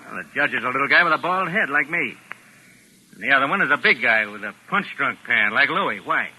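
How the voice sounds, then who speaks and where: An actor playing a bossy, wary American gangster boss, curt and slangy, in 1940s radio acting style. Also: male voice, medium pitch, expressive, a little fast, pushed and bright, husky, exaggerated voice. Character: bald little American gang boss, bossy, wary, hard-boiled. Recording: noisy old 1940s radio recording.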